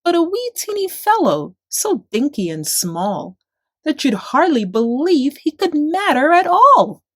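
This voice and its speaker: A woman reads a rhyming children's story aloud with great expression. Her voice is on the high side and very animated, taking its time, in a dry recording. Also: measured pace, dry recording.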